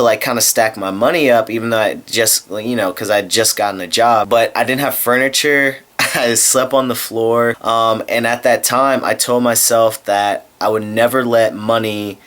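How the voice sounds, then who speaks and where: A man's voice: on the low side, a bit bright. A man in his twenties chatting casually to his camera while lying in bed, rambling and candid with a self-deprecating streak. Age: 20s. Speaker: vlogger. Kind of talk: casual vlog chat to camera. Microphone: handheld camera mic.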